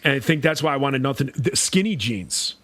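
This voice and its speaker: A man co-hosting a podcast in a relaxed, casual chat, talking in a measured way with frequent filler words. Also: medium pitch, no room sound. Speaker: podcast co-host.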